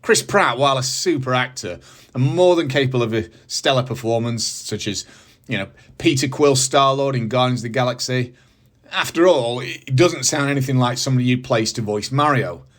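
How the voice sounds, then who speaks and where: British man reviewing in a mid-pitched voice. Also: normal speed, a bit bright. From United Kingdom. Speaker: host of a movie review channel. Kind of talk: scripted review commentary with casual asides.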